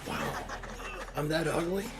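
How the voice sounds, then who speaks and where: A man's voice: on the high side, huge pitch swings, a little slow, music in the background. A man live streaming a video game, giving casual, offhand and wry commentary as he plays.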